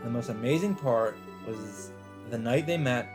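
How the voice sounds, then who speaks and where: A man's voice: medium pitch, measured pace. A man hosting a let's play, reading a visual novel's narration aloud in a dramatic storytelling style. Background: game music.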